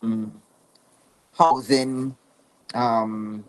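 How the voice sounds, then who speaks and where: A man in his thirties with a Trinidadian accent, a guest on a dating show over a video call, soft-spoken and hesitant, giving short answers. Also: mid-range voice, flat delivery, very slow, low-quality recording. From Trinidad and Tobago. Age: 30s.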